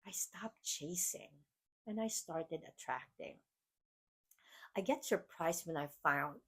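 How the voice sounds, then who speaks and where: A middle-aged Filipino woman hosts her own podcast in a slightly low voice. She speaks earnestly and with emphasis, often saying "like" and restarting sentences as she shares her thoughts. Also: a bit bright. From Philippines. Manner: earnest and emphatic, with frequent "like" and self-corrections. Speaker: podcast host. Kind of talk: personal motivational talk.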